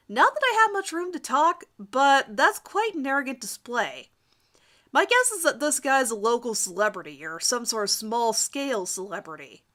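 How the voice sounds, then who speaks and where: A woman doing an amateur dramatic reading of a first-person story in an expressive, theatrical manner. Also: fairly high pitch. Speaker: amateur reader of her own fan fiction.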